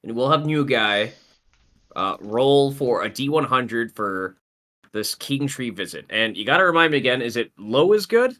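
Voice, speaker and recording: A man's voice: mid-range voice, very animated, normal speed, pushed and bright. A man running a tabletop game as game master, rambling and circling back with lots of filler words. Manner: rambling, full of "uh" and "like". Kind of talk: narrating a tabletop game over voice chat.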